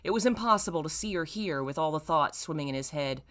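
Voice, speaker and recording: A young woman with a crisp, bright voice that sits a little low reads a story aloud. She plays it up theatrically and breaks into casual, mocking commentary in an exasperated, playful way. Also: expressive, fast talker. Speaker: host reading old fan fiction aloud with commentary. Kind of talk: dramatic read-aloud with mocking, casual asides.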